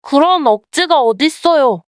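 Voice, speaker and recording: A woman's voice: sits high, lively intonation, measured pace, recorded from a distance. A woman voicing a young male bonded servant in a folktale, wary and timid but given to grumbling. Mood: protesting. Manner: deferential polite speech, wary. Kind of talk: dramatized folktale dialogue.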